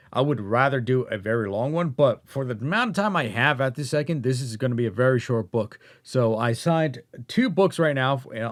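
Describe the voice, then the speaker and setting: A man giving a rambling, casual update to viewers, halting and often rephrasing himself as host and narrator. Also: mid-range voice. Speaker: audiobook narrator and channel host.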